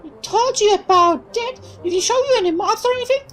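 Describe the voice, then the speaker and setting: A woman reading aloud as a spirited young female mechanic in a visual novel, talking in a high, exclaiming, indignant voice. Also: brisk, soft background music. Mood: curious.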